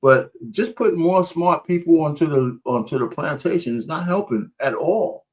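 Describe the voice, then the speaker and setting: A man on a podcast panel argues his side with lively pitch swings that rise and fall a lot. He sits a little above the usual male range and keeps pressing his point, often repeating himself. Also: normal speed, slightly rough. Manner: emphatic, argumentative, repeats himself. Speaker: panel guest. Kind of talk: heated debate.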